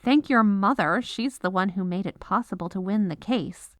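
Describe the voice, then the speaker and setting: A woman's voice: medium pitch, normal speed, dry recording, close to an everyday voice. A woman playing an exasperated, businesslike head lawyer at the North Pole in a scripted comedic audio roleplay. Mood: matter-of-fact. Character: exasperated, dutiful head legal advisor at Santa's North Pole.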